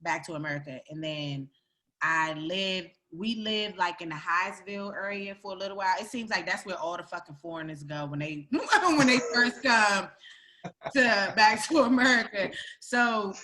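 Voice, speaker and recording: Woman, born in Greece, bright voice, lively casual chat, laughs a lot, swears freely. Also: medium pitch, moderate pace.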